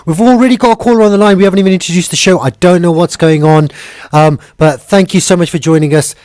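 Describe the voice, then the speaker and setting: A man hosting a live community radio show in a studio, talking in a lively, hurried, upbeat way. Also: high voice. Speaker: radio host.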